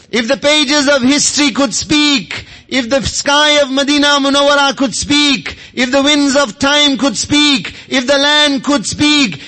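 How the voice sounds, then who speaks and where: A man delivers an Islamic sermon in an extremely high, forceful voice. He speaks with open emotion and repeats phrases for emphasis, in the impassioned style of a preacher. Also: rough recording.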